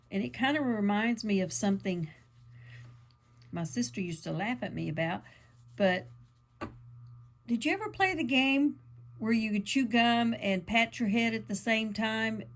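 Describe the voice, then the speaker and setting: A woman, a little croaky from allergies, chatting her way through a casual yarn craft tutorial with lots of ums and asides. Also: mid-range voice. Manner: chatty, meandering, lots of fillers. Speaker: crafting channel host.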